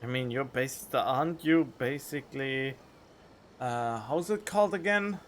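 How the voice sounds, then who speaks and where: A man's voice: mid-range voice, unhurried, slight background noise. A man giving casual, off-the-cuff commentary as he streams a video game. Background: game music.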